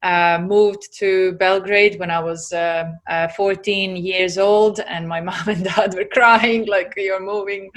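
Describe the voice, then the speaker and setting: A woman's voice: medium pitch, fairly even intonation, slow, hardly any pauses, fairly bright tone. A woman in her forties from Serbia, speaking with a Serbian accent, talks about herself as an interview guest, hesitating often with fillers. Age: 40s. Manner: hesitant, many fillers, laughs easily. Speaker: guest entrepreneur. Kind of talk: casual interview chat.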